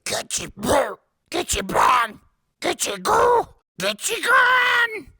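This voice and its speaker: A woman's voice: very high-pitched, sing-song, creaky, pushed and bright, no echo, shouting, studio quality, voice pushed up, heavily acted. A woman doing chanting swamp scare creatures. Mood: eerie chant. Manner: slow sing-song chant. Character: creepy chanting swamp scares, small to huge.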